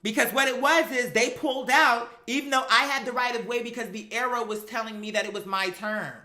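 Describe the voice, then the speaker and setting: A woman telling a personal story in an animated, sassy, emphatic way, with slangy, profane language and acted-out dialogue. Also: on the high side, fairly quick, roomy sound. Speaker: storyteller recounting a personal experience.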